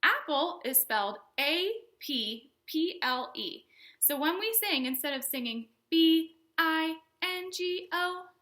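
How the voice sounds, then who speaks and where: A woman leads a storytime lesson for little children in a high voice that swings widely up and down. Her tone is bright and forward. She explains things simply, in a sing-song way, and keeps putting questions to her young viewers. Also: animated, takes it slowly. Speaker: children's librarian, storytime host.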